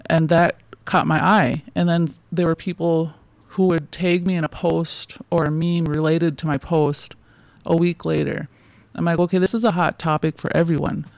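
A woman in her forties chats as an interview guest, her gravelly voice recorded dry and close. Her sentences wander and restart, full of fillers. She laughs between thoughts. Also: deep voice, fairly even intonation, moderate pace, hardly any pauses, a little mellow, dry recording. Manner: rambling, loose sentences with many fillers and restarts. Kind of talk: casual interview conversation.

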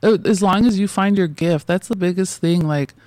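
A woman's voice: fairly low pitch, normal speed, a little mellow, no room sound, rough recording. A woman in her forties, a guest in a casual interview, talking in loose, rambling sentences full of fillers and restarts and often laughing between thoughts.

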